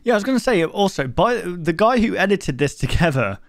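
A man's voice: high-pitched, very animated, a little fast, dry recording, studio quality, soft background music. A man hosting a reaction video, chatting casually with an informal, joking, sweary manner.

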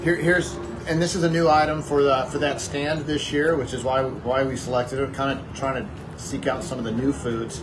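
A man's voice: mid-range voice, flat delivery, normal speed, background noise. A man in his forties chatting casually as he reviews a fair dessert, wordy and hesitant, with plenty of fillers and restarts, filmed on a phone in a small wooden shed. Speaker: food reviewer. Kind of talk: casual chat.

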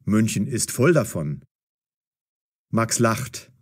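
A man narrating a scripted audio story for language learners in a measured, clearly enunciated way. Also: fairly low pitch, very animated.

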